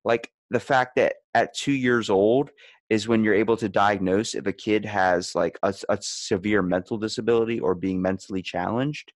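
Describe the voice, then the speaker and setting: Low-voiced man rambling casually on a podcast. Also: normal speed, dry recording. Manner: animated storytelling, acts out quoted lines.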